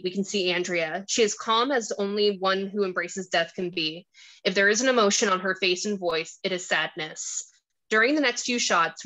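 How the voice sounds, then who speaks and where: A woman's voice: mid-range voice, bright, forceful tone, low-quality recording. A woman narrating a screenplay, reading out stage directions and scene descriptions.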